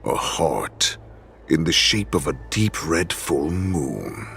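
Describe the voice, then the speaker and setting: Gravelly, abyss-deep ancient wolf warlord. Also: male voice, very animated, slow pace, fairly bright tone, soft background music, heavily acted. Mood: gloating, solemn. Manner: theatrical, menacing, savoring each word. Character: monstrous ancient male wolf-beast warlord, savage, gloating, hungry. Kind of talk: dramatic video game voice acting.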